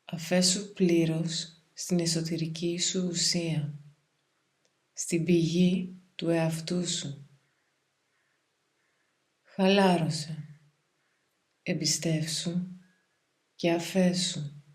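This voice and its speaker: A woman guides a meditation in a calm, soothing, measured way, leaving pauses between phrases. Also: fairly low pitch. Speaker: yoga nidra meditation guide.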